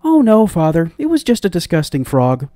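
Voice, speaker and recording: A man's voice: on the high side, huge pitch swings, moderate pace, warm tone, dry recording, studio quality, voice pushed up. A man voicing a young spoiled fairy tale princess in a gushing, dramatic and petulant manner. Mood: dismissive. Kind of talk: storybook character voice.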